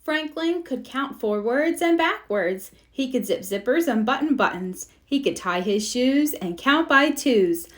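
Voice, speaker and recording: A woman narrating a children's storybook aloud in an expressive read-aloud style. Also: high-pitched, unhurried, few pauses. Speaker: storybook reader.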